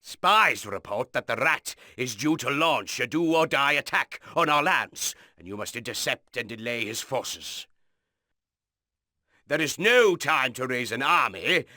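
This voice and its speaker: A man playing a stern noble rebel lord, giving a game mission briefing with formal, measured authority. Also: medium pitch, sharp tone, no echo, studio quality. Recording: music under the voice.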